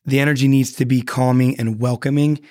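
A man's voice: medium pitch, flat delivery, brisk, mellow, no room sound, clean studio sound. A man speaking earnestly as a podcast guest, often restarting his sentences. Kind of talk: casual podcast chat.

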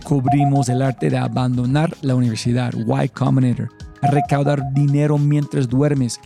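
A man's voice: medium pitch, gravelly. A man hosting a podcast, opening with an enthusiastic, emphatic intro and then interviewing a guest. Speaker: podcast host.

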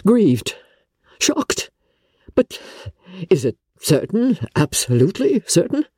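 A woman voicing a compassionate, formal gentleman in a classic novel reading, grave and restrained, with halting phrases. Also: medium pitch, big pitch swings, slightly rough, no echo, studio quality, voice pushed up, heavily acted. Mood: shocked concern.

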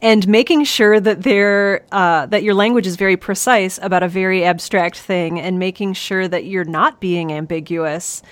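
A woman hosting an interview podcast, asking curious questions and sometimes poking gentle fun at herself. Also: medium pitch, moderate pace, hardly any pauses, dry recording. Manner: curious, self-deprecating questioning. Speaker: podcast host. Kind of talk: casual interview conversation.

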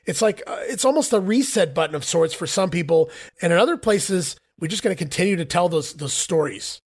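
A man hosting a podcast, recapping in casual chat with a hoarse voice. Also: high voice, expressive, a little fast. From northeastern Ohio. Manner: recapping, wraps up the show. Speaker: podcast host.

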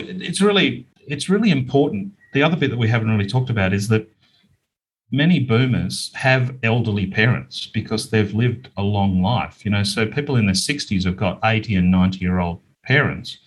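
A man's voice: fairly low pitch, animated, normal speed, breathy edge, rough recording. A middle-aged or older man with an Australian accent, explaining things earnestly as an interview guest. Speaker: interview guest, business author. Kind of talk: conversational interview answers.

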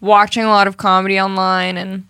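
A woman's voice: medium pitch, little pitch movement, a little fast, pushed and bright, clean tone, dry recording, studio quality. A woman in her twenties from Southern California, a comedian chatting as a podcast guest, telling stories with lots of "like". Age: 20s. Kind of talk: casual chat.

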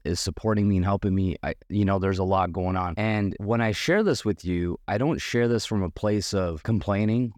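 A man hosting a solo podcast, earnest and motivational, his voice a bit hoarse from losing it, with a slight cough. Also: deep voice, darkish tone, no room sound, studio quality. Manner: earnest, motivational, with many restarts. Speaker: podcast host.

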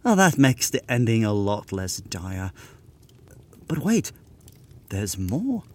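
Lively male rhyming storyteller. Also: lowish voice, takes it slowly, a little hiss or hum. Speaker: co-narrator and writer. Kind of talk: scripted rhyming storybook narration.

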